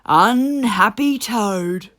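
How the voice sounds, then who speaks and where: A woman voicing a grave but encouraging old male badger from a children's storybook, speaking slowly and emphatically. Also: medium pitch, expressive, a bit bright, no room sound, clean studio sound, voice pushed up. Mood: grave sympathy. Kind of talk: children's storybook character reading.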